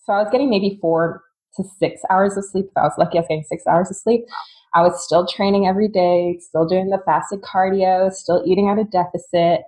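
A woman's voice: lowish voice, fairly even intonation, brisk, darkish tone, poor audio. A woman in her thirties from Singapore, a fitness coach telling her story as a podcast guest in a chatty, candid way, often laughing mid-sentence. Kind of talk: casual conversational storytelling.

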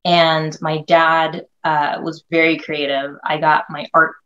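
A woman's voice: sits low, level tone, takes it slowly, fairly bright tone, muffled recording. A woman from Northern California, a filmmaker being interviewed, telling her story with enthusiasm and frequent restarts. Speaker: guest, filmmaker.

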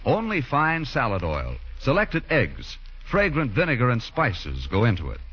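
A muffled vintage radio recording carries a male commercial announcer of the 1940s. His voice is husky and lively, with a polished, persuasive scripted delivery. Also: medium pitch, normal speed.

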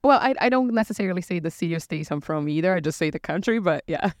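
A woman from Brazil, co-hosting a casual chat about bartending, animated and quick to act out customers' lines. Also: on the low side, no room sound, clean studio sound. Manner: animated, acts out customers' questions with laughs. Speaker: podcast co-host, bartender.

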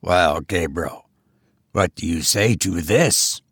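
A man voicing a stern, menacing goblin with a deep voice, taunting slowly in a storybook reading. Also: fairly bright tone, husky, no room sound, studio quality, heavily acted. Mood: mocking.

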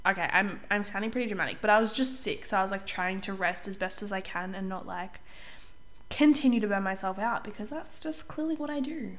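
A young woman hosting a casual solo podcast as a life coach, chatty and upbeat, slightly hoarse while getting over a cold. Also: mid-range voice, fairly quick, fairly bright tone. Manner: chatty, upbeat, says "like" often, clears throat.

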